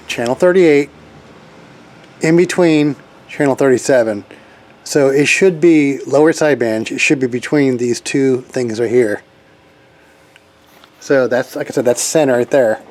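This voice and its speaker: A man's voice: mid-range voice, darkish tone. A man giving a casual hands-on tech demo as a hobbyist, talking informally and rambling as he thinks aloud. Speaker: hobbyist radio operator demonstrating equipment.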